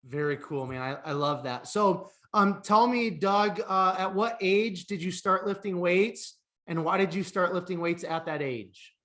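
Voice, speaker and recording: Bright-voiced male podcast host. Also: high voice, measured pace. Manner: friendly, steady questioning with stock openers. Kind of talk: interview questions.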